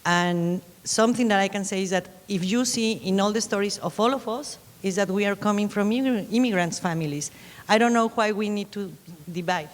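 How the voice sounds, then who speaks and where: A woman's voice: mid-range voice, a little fast, big-room sound. A woman with a Mexican Spanish accent, Spanish being her first language, speaking on a panel and searching for her words as she goes. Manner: searching, hesitant phrasing. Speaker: panelist author. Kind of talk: panel discussion. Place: lecture hall.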